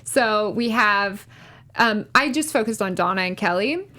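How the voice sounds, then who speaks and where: Medium-pitched woman in casual panel chat. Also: animated, a little slow, pushed and bright. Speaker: panelist on a TV recap aftershow.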